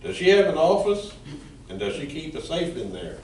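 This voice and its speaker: A man's voice: fairly high pitch, sing-song, moderate pace, a little mellow, recorded from a distance. A man playing a flirtatious, shady husband in an amateur radio play. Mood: nosy. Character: flirtatious smooth-talking husband, shady special assistant. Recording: echoey room, off mic, faint hiss.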